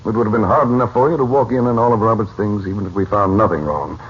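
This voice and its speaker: Mystic uncle in old radio serial, lowish steady voice, in charge, muffled hissy sound. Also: male voice, fast, breathy edge. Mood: composed. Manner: composed, authoritative, reassuring. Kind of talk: 1940s radio acting.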